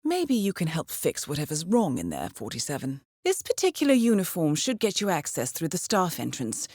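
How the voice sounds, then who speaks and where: A woman playing a cool, composed intelligence handler in a video game, giving mission briefings in a measured, formal, dryly witty manner. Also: low voice, huge pitch swings, darkish tone, dry recording, clean studio sound, heavily acted. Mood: dryly wry.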